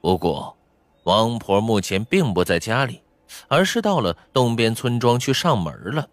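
A man's voice: mid-range voice, expressive, moderate pace, no echo. A man narrating fiction from a script as a dramatic audiobook. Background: faint background music.

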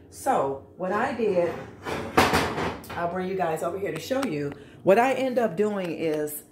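The voice is a middle-aged American woman's, pitched a little low. She talks casually and directly to viewers as she hosts a home cooking vlog. The kitchen sounds clearly echoey, with slight noise behind her. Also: darkish tone. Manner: informal, chatty, addressing viewers directly. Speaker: vlog host. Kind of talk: casual cook-along vlog. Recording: echoey room with background noise.

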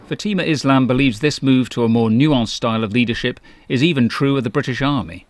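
A man narrating a business news feature in a crisp, measured, scripted voice-over. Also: mid-range voice, dry recording. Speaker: journalist narrator. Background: loud background music.

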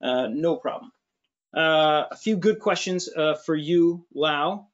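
A man's voice: on the high side, slow pace, lo-fi sound. Easygoing man hosting a camera webinar. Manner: relaxed, joking, lots of fillers. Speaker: webinar host. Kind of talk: webinar discussion. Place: studio with large screen.

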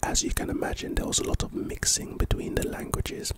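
A man speaks in a hushed, unvoiced whisper throughout, like a quiet ASMR lesson. He reads words and example sentences calmly, then slips in playful jokes and teasing asides. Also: a little fast. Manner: playful asides while reading. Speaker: ASMR host teaching vocabulary.

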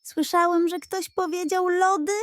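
Woman doing a proud, high-voiced honking gander. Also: fairly even intonation, unhurried, no room sound, studio quality. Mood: eager. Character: proud, eager male goose. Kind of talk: children's audio fairy tale.

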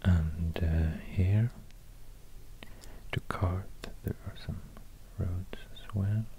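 Hushed, low Swedish-accented man, whispering. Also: fairly even intonation, a little hiss or hum. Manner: hushed, unhurried, halting. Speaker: ASMR host. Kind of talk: soft-spoken whispered ASMR. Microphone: close binaural microphone.

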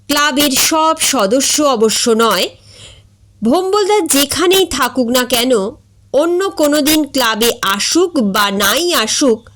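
A woman reads a comic story aloud at a slow, deliberate pace. Her narration is expressive, with a lively, animated pitch and a slightly high voice. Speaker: story reader.

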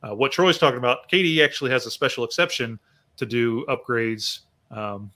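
A man co-presenting over a video call, explaining technical points in a measured way and adding follow-up remarks. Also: mid-range voice, pushed and bright.

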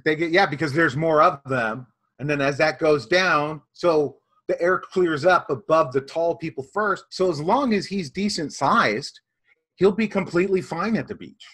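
A man playing an earnest flat-earth conspiracist. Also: fairly high pitch, normal speed, husky. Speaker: guest. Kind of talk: improvised comedy character.

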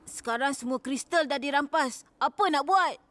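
A woman's voice: high-pitched, moderate pace, a bit bright. A woman playing a brave, determined young boy who leads a team in a cartoon, earnest and urgent. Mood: worried. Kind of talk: children's animated series acting.

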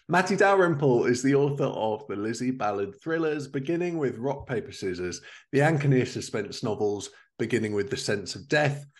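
A man in his thirties presenting a podcast in an upbeat, enthusiastic, scripted style. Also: mid-range voice. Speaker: podcast host. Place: living room at home.

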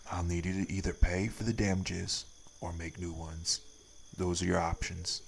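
A stern wraith priest played by a man speaks in a low, even voice with a gravelly edge. He is laconic and folksy, a tired guardian in an audio drama, with a faint hiss behind him. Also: moderate pace. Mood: firm, weary. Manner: laconic, folksy, drawled nonstandard grammar. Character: stern, weary male wraith priest guarding his town. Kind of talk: scripted audio roleplay acting.

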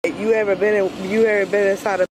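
A woman's voice: mid-range voice, level tone, fast talker, noisy background. A young woman from near Houston, bantering bluntly and sassily in slangy casual talk inside a parked car. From Texas, near Houston. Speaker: prank target. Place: outdoors on a street, then inside a parked luxury car.

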